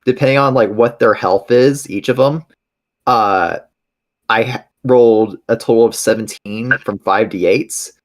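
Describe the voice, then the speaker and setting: A man with a deep-pitched voice takes part in a tabletop game. He explains rules casually, pausing and hesitating as he thinks out loud.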